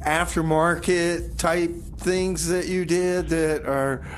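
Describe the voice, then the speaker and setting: Man as a higher-voiced, halting retired radio host. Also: level tone, soft background music. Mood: halting, explaining. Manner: halting, repeats himself. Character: nagging retired radio host supervising his bumbling successor. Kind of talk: comedy radio theater.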